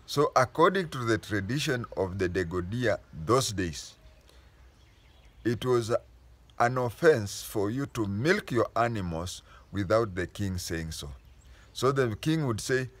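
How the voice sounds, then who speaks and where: A man with a Kenyan accent talks through history like a patient storyteller. His voice sits fairly low. He pauses often, restarting phrases to set the record straight as he goes. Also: animated, no room sound. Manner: unhurried storytelling, stopping to restate and correct himself. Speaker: history explainer. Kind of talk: casual spoken history lesson.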